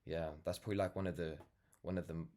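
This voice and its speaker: A man's voice: low voice, steady tone, quick pace, darkish tone. A nineteen-year-old man with a London accent talking casually and reflectively to camera, earnest and rambling, often saying "like" and "you know". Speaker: vlogger, brand founder.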